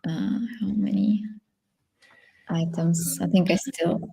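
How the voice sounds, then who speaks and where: A woman with a Ukrainian accent chatting casually as she hosts a live technical workshop, feeling her way through sentences in halting phrases. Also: fairly low pitch, fairly even intonation, creaky, warm tone, breathy edge, rough recording. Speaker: workshop host.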